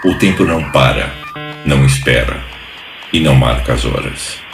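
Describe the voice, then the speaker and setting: A man's voice: extremely low pitch, moderate pace, gravelly. A man with a Brazilian accent solemnly narrating a scripted prologue. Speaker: intro narrator. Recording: echoey voice under loud music.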